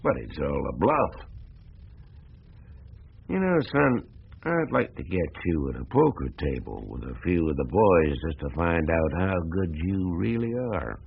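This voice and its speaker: A gruff older American businessman from a small town in a radio play. He is folksy and wary, with a low, gravelly voice that moves in animated swings. The sound is thin and narrowband, like an old broadcast. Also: unhurried, heavily acted. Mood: wary, curious. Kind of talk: radio drama dialogue.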